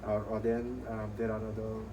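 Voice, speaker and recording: A man's voice: on the low side, monotone, moderate pace, lo-fi sound, crowd noise. A man on hospital staff speaking hesitantly, stammering and restarting as he explains a policy. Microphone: recorded from across the room on a phone. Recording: distant, noisy phone recording.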